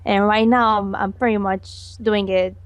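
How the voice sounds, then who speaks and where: A woman's voice: mid-range voice, flat delivery, measured pace, clean tone, no room sound. A woman animator answering interview questions, hesitant and giggly, with many fillers. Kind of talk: casual online interview.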